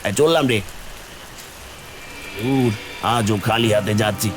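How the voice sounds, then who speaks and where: A man playing a poor, gentle village father in a cartoon, soothing and resigned. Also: fairly low pitch, unhurried, natural voice. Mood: resigned, weary. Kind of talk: Bengali cartoon voice acting.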